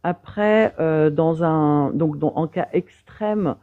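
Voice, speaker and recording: A woman's voice: low-pitched, slow, no echo. Woman on a dry tinny phone line. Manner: defensive, hesitant, many fillers. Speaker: debate caller. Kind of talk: live debate on a stream.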